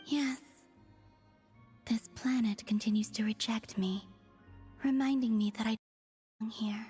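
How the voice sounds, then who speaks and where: Otherworldly young moon maiden, female, breathy and measured, quietly deadpan, higher voice, long reverb, game character. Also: faint music behind. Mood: quiet, wistful. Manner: soft, measured, breathy, understated delivery. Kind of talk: video game voice acting.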